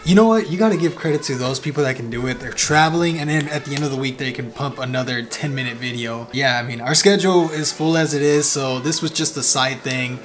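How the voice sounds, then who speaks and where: A man's voice: mid-range voice, fast talker, few pauses. A young man with a Spanish accent, Spanish his first language, talking casually as a travel vlogger, full of fillers and upbeat asides. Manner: chatty, filler-heavy, upbeat. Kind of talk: casual vlog narration. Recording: music playing over the voice.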